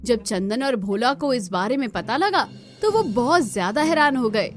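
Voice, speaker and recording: A woman's voice: on the high side, lively intonation, normal speed, soft background music. A woman narrating a scripted cartoon story as the storyteller.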